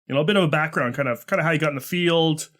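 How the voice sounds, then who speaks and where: A man's voice: fairly high pitch, racing, a bit bright. A man hosting a podcast interview, talking casually and rambling with many fillers and restarts. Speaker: podcast host.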